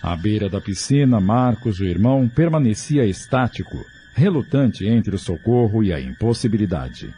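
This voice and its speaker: A man's voice: lowish voice, very animated, moderate pace, hardly any pauses, dark tone. A man with a Brazilian accent giving a scripted, dramatic story narration. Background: music.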